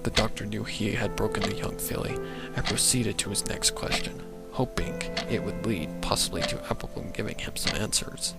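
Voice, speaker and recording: Low gravelly guy narrating a dramatic story, loud music under him. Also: normal speed. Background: loud background music.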